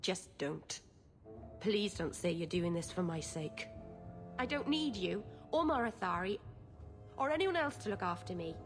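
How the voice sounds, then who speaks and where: A woman's voice: fairly low pitch, big pitch swings, a little slow, soft background music. A woman playing an earnest, stubborn and sensitive young elf mage in a video game. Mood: angry, hurt. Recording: long hall-like reverb.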